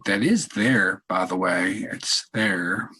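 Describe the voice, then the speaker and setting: A man leading a guided meditation in a calm, unhurried, halting way with long pauses and a husky voice. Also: deep voice. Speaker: meditation leader, podcast host. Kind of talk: guided meditation, softly spoken instruction.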